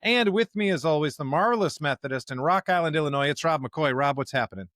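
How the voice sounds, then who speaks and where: A man's voice: a little high, animated, fast talker, a bit bright, no room sound, clean studio sound. An American man co-hosting a podcast as a pastor, talking casually and informally in a chatty way.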